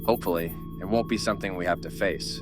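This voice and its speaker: A man playing a gruff, world-weary survivor who takes charge in an audio horror drama. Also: deep voice, fast, over music, close to an everyday voice. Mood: wary.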